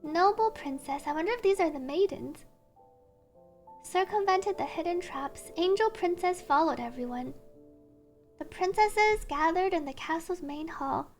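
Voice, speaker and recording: A woman's voice: extremely high pitch, lively intonation. A woman livestreamer reading game text aloud and adding chatty asides, with game music behind her. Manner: reads story text slowly, then chatty asides. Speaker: streamer, VTuber.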